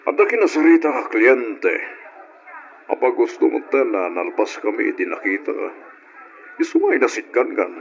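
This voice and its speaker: Gravelly, flirtatious Ilocano boss. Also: male voice, mid-range voice, sing-song, moderate pace, pauses often. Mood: flirty, persuasive. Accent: Filipino, Ilocano. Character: smooth-talking, flirtatious male boss pursuing his employee. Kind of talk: old-time Ilocano radio drama acting. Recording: dull, noisy old radio recording.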